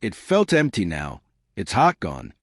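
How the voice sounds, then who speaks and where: A man's voice: lowish voice, wildly swooping pitch, unhurried, no room sound, clean studio sound. A man narrating a scripted first-person mystery story as an audiobook.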